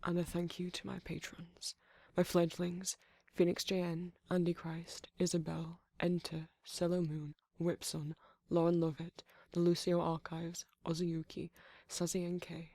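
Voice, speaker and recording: An audio roleplay creator reading a scripted channel outro and credits in a steady, list-like delivery. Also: medium pitch, a little slow, vocal fry, few pauses, no room sound, studio quality.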